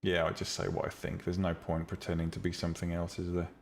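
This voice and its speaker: Guy on a live stream, low monotone voice, blunt and rambling with lots of ums. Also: fast, vocal fry, slight background noise. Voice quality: calm, relaxing voice. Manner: blunt, opinionated, frequent ums and restarts. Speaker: streamer teaching 3D rendering and visual novel making. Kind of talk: casual live-stream chat with viewers.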